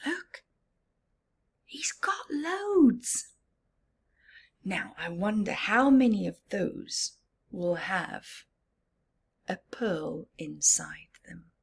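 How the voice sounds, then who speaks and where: A woman reading a picture book aloud to young children, dramatic and expressive, with simple asides and questions to the listener. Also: medium pitch, gravelly. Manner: slow, simple child-directed phrasing. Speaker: storyteller for children.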